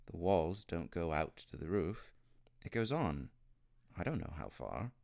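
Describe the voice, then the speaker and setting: Man voicing a plucky young schoolgirl, lively pitch. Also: a little slow, vocal fry, no echo, studio quality, exaggerated voice. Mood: matter-of-fact. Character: plucky, practical, sharp-tongued young schoolgirl.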